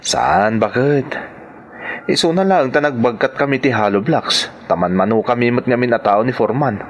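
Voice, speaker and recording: A man's voice: medium pitch, moderate pace, dull audio, natural voice. A man acting a stubborn working-class husband in an audio drama, with an Ilocano accent and a whining, cajoling manner. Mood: dismissive, weary. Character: stubborn working-class husband, a construction laborer fond of soft drinks.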